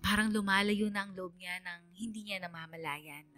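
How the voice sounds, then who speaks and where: A woman's voice: medium pitch, moderate pace, sharp tone, soft background music, close to an everyday voice. Woman acting lonely, plaintive female student. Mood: plaintive, hurt. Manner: plaintive, confiding. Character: lonely, wistful female student longing for her mother. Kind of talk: dramatised first-person letter narration.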